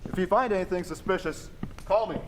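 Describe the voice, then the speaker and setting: An actor playing a composed, inquisitive gentleman detective in old-time radio drama style, speaking formally and deliberately. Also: high-pitched, close to an everyday voice. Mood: purposeful. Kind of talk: 1940s radio drama acting. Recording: echoey hall, faint background noise.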